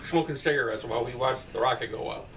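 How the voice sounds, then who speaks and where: Rambling middle-aged dad, slightly distant mic. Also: male voice, mid-range voice, fast, fairly bright tone. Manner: rambling, blunt, profane asides. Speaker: father answering viewer comments. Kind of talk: casual comment-response chat. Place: bedroom at night, indoors. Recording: distant mic with faint room noise.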